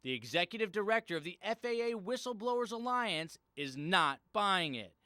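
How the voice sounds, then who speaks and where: A male television reporter delivers scripted investigative news narration. His voice sits notably high for a man and moves through a wide, animated range of pitch. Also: normal speed.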